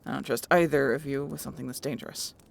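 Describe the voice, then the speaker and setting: A woman playing a blunt, distrustful harpy in an audio roleplay, with a low gravelly voice and a curt, sarcastic manner. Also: steady tone, fast, full character voice. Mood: wary, stern.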